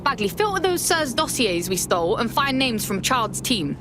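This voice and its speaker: A woman's voice: fairly high pitch, big pitch swings, normal speed, over music. A woman playing a tough, cocky young hacker insurgent with a British accent in a video game, blunt and slangy.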